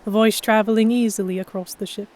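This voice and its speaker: A woman narrating a first-person historical romance story with careful, expressive, scripted delivery. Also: medium pitch, fast talker. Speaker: narrator and lead heroine.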